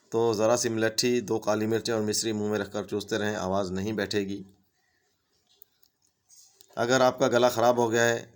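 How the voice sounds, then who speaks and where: Low, smooth-voiced man. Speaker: home remedies channel host. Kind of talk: reading home remedy tips.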